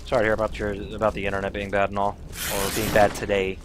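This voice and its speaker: A man's voice: on the low side, monotone, fast, background noise. A man casually commenting on his own speedrun and chatting with viewers, swearing now and then when frustrated. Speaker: speedrun streamer.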